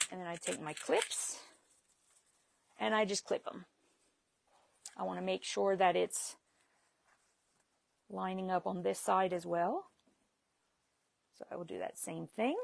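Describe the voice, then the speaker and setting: A woman's voice: on the low side, a little slow, creaky, pauses often, no echo. A woman leading a live sewing demonstration, chatting and laughing while she works. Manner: chatty, laughs easily, talks while working. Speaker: sewing instructor.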